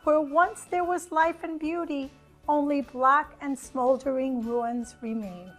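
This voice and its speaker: A woman's voice: high voice, slow pace, a bit bright, soft background music. A woman voicing an alarmed, squawky toucan in a storybook. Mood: alarmed warning.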